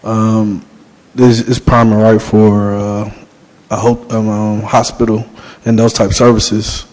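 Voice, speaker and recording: A man's voice: lowish voice, little pitch movement, takes it slowly, darkish tone, low-quality recording. A man speaking as a commissioner at a public planning meeting, hesitant with many fillers.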